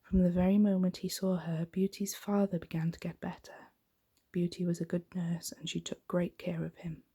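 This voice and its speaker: Female ASMR storyteller: low, mellow, gently creaky voice, soft and hushed bedtime narration. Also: flat delivery, moderate pace.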